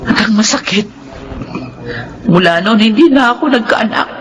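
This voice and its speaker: A woman's voice: fairly high pitch, expressive, moderate pace, a little hiss or hum, lightly acted. A woman playing a stern but caring middle-aged Filipino mother with a painful past, in an earnest radio drama style. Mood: sorrowful. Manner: emotional, earnest, admonishing. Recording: music under the voice.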